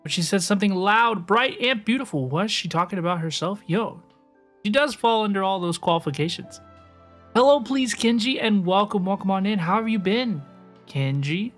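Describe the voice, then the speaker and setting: A woman's voice: mid-range voice, lively intonation, normal speed, faint music behind. A woman streaming a game, chatting casually and slangily with viewers and often repeating her phrases. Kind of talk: casual livestream chat over gameplay.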